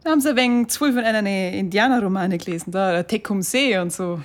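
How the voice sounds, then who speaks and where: An actress with a Bavarian accent playing a curious, chatty young photographer, hesitant and colloquial, in a radio drama. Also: female voice, medium pitch, fast, close to an everyday voice. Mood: teasing, sceptical. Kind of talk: naturalistic radio drama dialogue.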